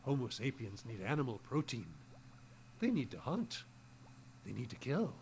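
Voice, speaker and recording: A man playing an eccentric, testy old botanist in a radio horror drama, husky, absent-minded, stammering and repeating himself. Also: mid-range voice, big pitch swings, normal speed, a little mellow, slight background noise. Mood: obsessive, explaining.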